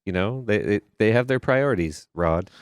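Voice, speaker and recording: A man's voice: on the low side, lively intonation, normal speed, clean tone, no room sound, studio quality. A man co-hosting a podcast in casual, conversational banter. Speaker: podcast co-host.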